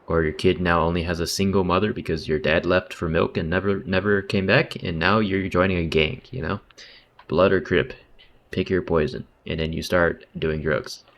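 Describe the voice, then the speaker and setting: A man's voice: deep voice, fairly bright tone, a little hiss or hum. A man giving casual, slangy, wry running commentary on a game stream. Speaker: livestreamer.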